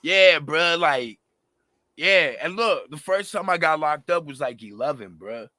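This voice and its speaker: Raspy man, thirties, animated slangy talk, slightly high pitch, bright tone. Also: unhurried, no echo. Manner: animated, passionate, slangy, lots of fillers. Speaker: rapper, interview guest. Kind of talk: casual interview talk.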